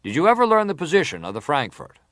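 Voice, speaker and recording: An actor playing a formal, persistent senator who questions a witness deliberately in a dramatised hearing. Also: male voice, a little high, huge pitch swings, fairly bright tone, no echo. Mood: probing. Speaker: interrogator at a hearing. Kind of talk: dramatised reading of hearing testimony.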